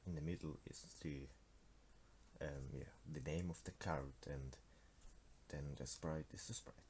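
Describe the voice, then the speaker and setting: Deep-voiced Swiss teen with a German accent. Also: male voice, steady tone, measured pace, a little mellow, a little hiss or hum. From Switzerland. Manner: hesitant, many fillers and restarts, self-deprecating. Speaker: game developer. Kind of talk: casual screen-recorded devlog.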